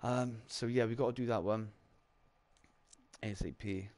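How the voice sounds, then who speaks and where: A man's voice: lowish voice, fairly even intonation, normal speed, a little mellow, slight background noise. A man hosting a casual chat, talking in a laid-back, rambling way full of fillers. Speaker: channel host on a live round-table stream.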